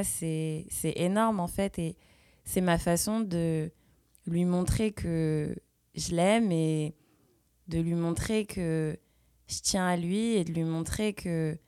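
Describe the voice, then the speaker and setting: A woman in her thirties hosting a podcast, speaking in an intimate, earnest and deliberate personal monologue. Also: on the low side, dark tone, dry recording, studio quality. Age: 30s. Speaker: podcast host.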